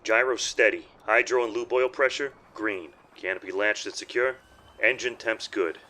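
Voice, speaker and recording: A man voicing a gruff, battle-hardened lieutenant piloting a war machine in a military audio drama, with clipped, muttered or snarled callouts. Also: lowish voice, steady tone, normal speed, pushed and bright, pitched above the performer's own voice. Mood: focused, terse.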